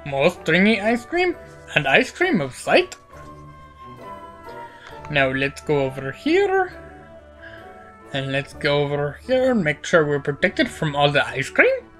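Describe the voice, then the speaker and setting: A woman's voice: low voice, wildly swooping pitch, takes it slowly, long pauses, fairly bright tone, soft background music, low-quality recording. A woman with a cold, her voice cracking, giving playful, rambling commentary over a video game with lots of fillers. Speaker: gaming channel host.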